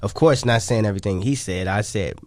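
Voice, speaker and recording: A man's voice: on the low side, fairly quick, a little mellow, no echo, studio quality. A man talking casually as a guest in a radio interview, rambling and repeating himself with slang tags. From Chicago, United States. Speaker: music artist and songwriter, interview guest.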